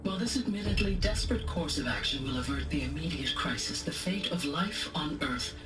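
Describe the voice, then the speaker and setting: A woman playing a grave, caring artificial intelligence in a game, speaking in formal, measured, precise sentences. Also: deep voice, lively intonation. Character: grave, caring female artificial intelligence facing her destruction. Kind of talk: scripted dramatic game monologue. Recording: distant voice under clear music.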